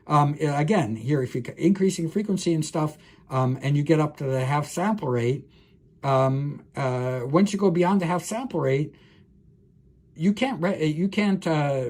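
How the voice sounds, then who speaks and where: A man's voice: mid-range voice, darkish tone, breathy edge. A man lecturing as a professor, explaining technical material informally, thinking aloud with frequent hesitations and self-corrections and speaking directly to the listener.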